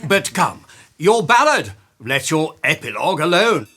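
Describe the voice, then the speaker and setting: A man's voice: on the high side, big pitch swings, measured pace. A man playing a jovial, teasing noble duke in a Shakespearean radio play. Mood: amused. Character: jovial, teasing noble duke presiding over wedding revels.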